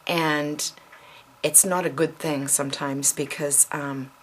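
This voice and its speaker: Middle-aged woman vlogger, low voice, rambling home-video chat with a faint hiss behind. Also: fairly even intonation, slow. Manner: chatty, frequent ums and self-corrections. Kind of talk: casual chat to camera.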